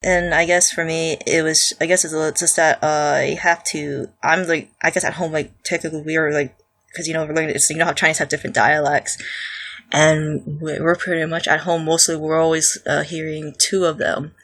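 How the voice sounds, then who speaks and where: A woman co-hosting a casual podcast, talking haltingly with many false starts and fillers. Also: sits low, little pitch movement, a bit bright. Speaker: podcast co-host.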